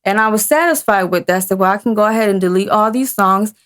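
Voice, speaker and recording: A woman in her thirties chats as an interview guest, her voice sitting at a middling pitch. She talks in halting bursts, often restarting her sentences. The tone stays casual and conversational. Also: fairly bright tone. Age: 30s. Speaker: guest singer.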